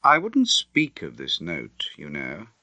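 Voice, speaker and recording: A man voicing a sober, discreet gentleman lawyer in an audiobook, speaking in a formal, measured way. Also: deep voice, wildly swooping pitch, no echo, highs cut off, pitched below the performer's own voice, exaggerated voice. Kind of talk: audiobook character acting.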